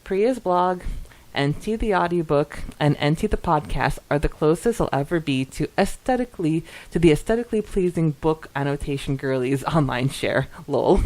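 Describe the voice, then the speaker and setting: A woman's voice: sits low, animated, normal speed, a little mellow, rough recording. A woman co-hosting a podcast, chatting casually and reading out comments in an informal, giggly way. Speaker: podcast co-host.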